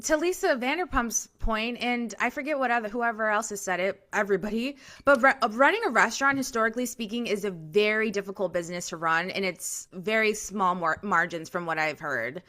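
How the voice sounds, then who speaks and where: A woman's voice: medium pitch, brisk, hardly any pauses, pushed and bright. A young woman co-hosting a casual podcast, giving opinionated, chatty reality TV commentary. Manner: chatty, opinionated, restarts and fillers. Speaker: podcast co-host. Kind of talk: casual reality TV gossip chat.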